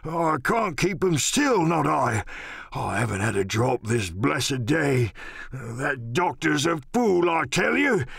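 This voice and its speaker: Gravelly, cantankerous old captain. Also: male voice, on the high side, very animated, takes it slowly, fairly bright tone, dry recording, clean studio sound, voice pushed up, full character voice. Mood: pleading, agitated. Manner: rambling, salty seafaring talk, cursing. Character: sick, rum-craving old pirate sea captain, cantankerous and pleading. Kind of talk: audiobook radio-play character acting.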